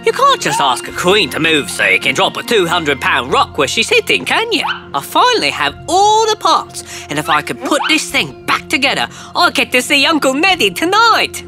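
A woman voicing a friendly, hurried green alien in a children's cartoon, quick and excitable. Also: a little high, soft background music, full character voice. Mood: chatty. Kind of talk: cartoon voice acting.

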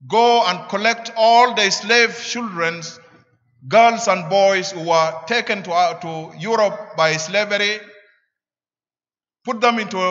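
A middle-aged man with a South Sudanese accent preaches a sermon deliberately, in short emphatic phrases, at a church lectern. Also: high-pitched, pushed and bright. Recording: echoing church, slightly dull sound.

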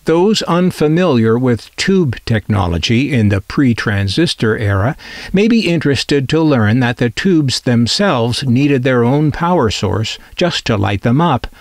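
Lively, wry man narrating. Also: mid-range voice, measured pace, no room sound, studio quality. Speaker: presenter of a vintage radio collecting channel. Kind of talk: informal scripted product narration.

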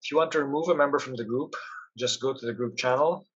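A man presenting a product demo, giving step-by-step instructions in a software tutorial. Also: medium pitch, quick pace, slightly rough, dull audio. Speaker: product demonstrator.

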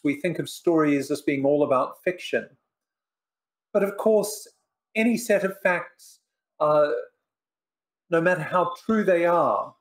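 Older man, slightly high voice, first language Polish, professor-author, reflective, halting with fillers, video call audio. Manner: measured, reflective, many "uh" and "um" pauses. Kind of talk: thoughtful interview answers. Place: living room in front of bookshelves. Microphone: video call webcam.

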